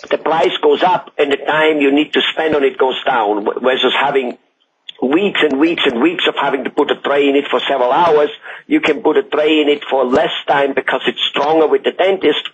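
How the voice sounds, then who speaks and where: A man's voice: mid-range voice, moderate pace. Man, Austrian accent, native German speaker, interviewer, wordy rambling delivery, dull noisy recording. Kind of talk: conversational interview. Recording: muffled audio with loud background noise.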